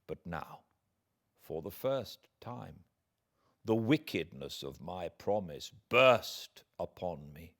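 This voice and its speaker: Slow, deep British male storyteller. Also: animated. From Britain. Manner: measured, theatrical delivery with hushed passages and swelling emphasis. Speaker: audiobook narrator reading aloud. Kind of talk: dramatic literary narration.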